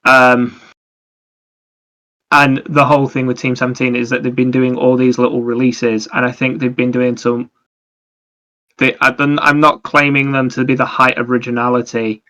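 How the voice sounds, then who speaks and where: A man's voice: medium pitch, little pitch movement, normal speed, pushed and bright. A man chatting casually as a podcast co-host about video games, explaining things in a rambling, anecdotal way.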